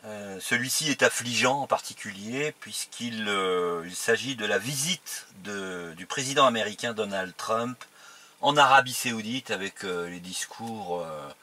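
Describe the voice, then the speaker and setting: A man hosting a political interview, speaking off the cuff and hesitantly, pausing between phrases. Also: fairly low pitch, sharp tone. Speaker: interviewer and host.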